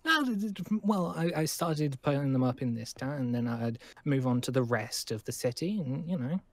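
A man's voice: medium pitch, expressive, normal speed, dry recording. A man playing a nervous, friendly boy in an improvised game roleplay, stammering and repeating words in bursts. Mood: nervous, hopeful. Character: nervous, eager, friendly lone boy living in abandoned school.

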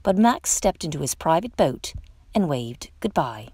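Animated low-voiced woman reading a storybook. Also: slightly rough, dry recording. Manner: dramatic, theatrical storytelling with drawn-out phrases. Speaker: storytime reader. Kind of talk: expressive children's picture book read-aloud.

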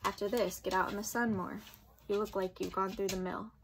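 A woman reading the lines of a rough, teasing, streetwise teenage male hoodlum from a novel, husky and brusque. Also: mid-range voice, steady tone, fairly quick, a little hiss or hum, natural voice. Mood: gruff, concerned. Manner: slangy, brusque.

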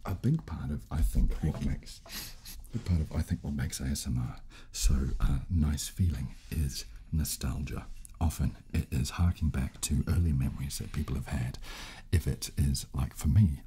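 Very deep, hushed man, clicky background. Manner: hushed, unhurried, hesitant restarts, often slipping into whispers. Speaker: host and ASMR instructor. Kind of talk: soft-spoken ASMR tutorial with casual chat. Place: small furnished room, indoors. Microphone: desk condenser microphone, close up. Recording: close-mic with clicking and crinkling handling noise. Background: button clicks, crinkling.

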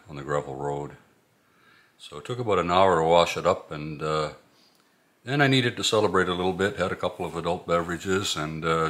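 A man's voice: very deep voice, bright, forceful tone, echoey room. A middle-aged man chatting casually to viewers as a vlog host, in an informal, hesitant way. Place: inside a moving truck and a garage.

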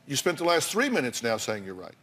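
A man's voice: medium pitch, wildly swooping pitch, fast, sharp tone. An older man hosting a televised talk show, bluntly lecturing and needling his guests in a studio. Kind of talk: confrontational talk show counseling. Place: TV studio with audience.

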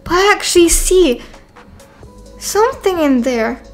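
A woman voicing an excitable, self-assured young girl in a game roleplay, chatting and thinking aloud dramatically. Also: very high voice, a little slow, faint music behind, exaggerated voice. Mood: startled curiosity. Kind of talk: voiced video game roleplay.

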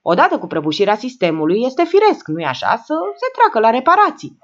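A woman's voice: on the high side, wildly swooping pitch, dull audio. A woman reading aloud and voicing a prim, educated woman speaking formally.

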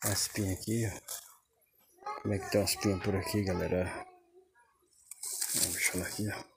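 A man's voice: low voice, fairly even intonation, unhurried. A man, casually and enthusiastically showing his sunny backyard garden on a phone camera while walking. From Northeast Brazil. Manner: enthusiastic, repeats "que maravilha" and "olha". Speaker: vlogger. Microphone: phone camera held while walking. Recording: outdoor phone audio with clear background noise.

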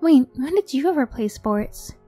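A woman acts out a young girlfriend in an ASMR roleplay, high and soft-toned. She is affectionate and chatty, with a tough streak under the sweetness. The sound is close and dry over background music. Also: animated, normal speed, breathy edge, no room sound. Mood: affectionate, bubbly.

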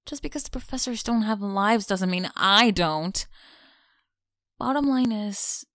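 A woman's voice: mid-range voice, expressive, measured pace, a bit bright, dry recording, studio quality. A young woman with an American accent playing a mean, teasing college bully in an ASMR audio roleplay, mocking and slangy. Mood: exasperated. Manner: mocking, sighing, casual slangy talk. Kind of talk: ASMR audio roleplay, one-sided dialogue.

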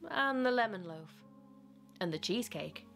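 A woman's voice: medium pitch, lively intonation, moderate pace, creaky, a bit bright, soft background music. Gushing young woman vlogger. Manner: gushing, enthusiastic, giggly. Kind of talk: chatty vlog narration and haul. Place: living room at home, sitting on floor.

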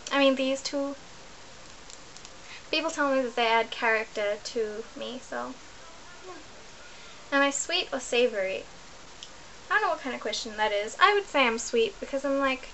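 A young woman from New Zealand rambles cheerfully to her laptop webcam, correcting herself as she goes. Her voice sits slightly high. A faint hiss runs under the small bedroom recording. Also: a bit bright, rough recording. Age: young adult. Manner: chatty, rambling, self-correcting. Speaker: vlogger. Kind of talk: casual chat to camera.

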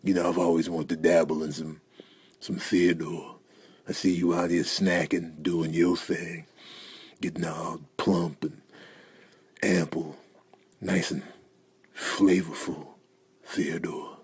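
A man's voice: very animated, normal speed, husky. A man voicing a sly predatory cat who feigns friendliness, in a comic animal voice-over. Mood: sly, hungry. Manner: swings from creepy low purr to hasty backtracking.

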